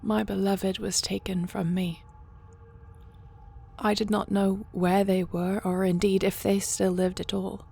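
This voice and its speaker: A woman playing a resolute, noble dragon-shifter queen in a fantasy audio roleplay, speaking earnestly and formally in a breathy, husky voice. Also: mid-range voice, flat delivery, dark tone. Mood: solemn, resolute. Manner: earnest, measured, formal phrasing.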